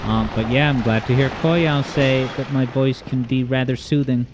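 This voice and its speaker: A man's voice: medium pitch, normal speed, highs cut off. Rambling, joking male game streamer. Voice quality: smooth. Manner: rambling, joking, self-deprecating. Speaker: livestream host. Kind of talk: casual chat, reading viewer comments while gaming. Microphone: streaming mic with boosted EQ. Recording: steady background noise.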